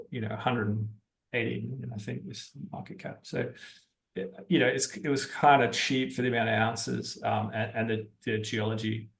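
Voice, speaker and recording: Male interview guest, creaky mid-pitched voice, explains things off the cuff in a halting, exploratory way. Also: fairly bright tone, low-quality recording. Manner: halting, thinking aloud. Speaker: interview guest, geology-minded stock investor. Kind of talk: unscripted explanatory interview talk.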